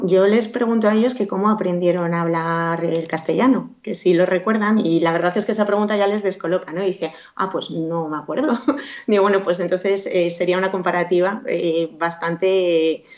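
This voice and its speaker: A woman with a lowish voice answers interview questions at length. She hesitates, fills gaps with little sounds and circles back to finish her thoughts. The recording sounds dull and lo-fi. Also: steady tone, normal speed, a little mellow. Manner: hesitant, many fillers and restarts. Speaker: guest. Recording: dull lo-fi audio.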